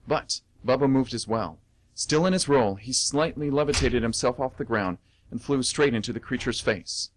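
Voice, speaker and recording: A man's voice: medium pitch, moderate pace, a little hiss or hum, poor audio. A man reading dramatic story narration as the narrator of an amateur radio play.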